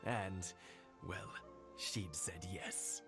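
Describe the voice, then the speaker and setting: Low-voiced, kindly old husband. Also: male voice, drawn-out words, gravelly, music in the background, exaggerated voice. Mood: sentimental. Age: older. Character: kindly elderly husband, sentimental, modest storyteller. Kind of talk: video game voice acting.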